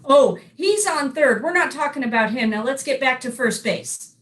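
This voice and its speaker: A woman's voice: high-pitched, animated, moderate pace, fairly bright tone. A woman playing an unflappable baseball team manager in a staged comic dialogue, answering in an emphatic, matter-of-fact way. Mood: insistent. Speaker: amateur readers theater performer.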